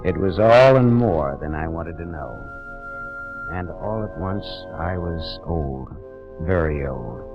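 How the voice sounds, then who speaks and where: A deep, low-pitched hard-boiled private eye, narrating dry and sardonic over old-time radio music. The broadcast sounds dull and aged, like a 1940s radio play. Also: male voice, lively intonation, takes it slowly, heavily acted. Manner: dry, wry, sardonic delivery. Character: hard-boiled wisecracking private detective, man. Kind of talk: 1940s radio drama, first-person narration.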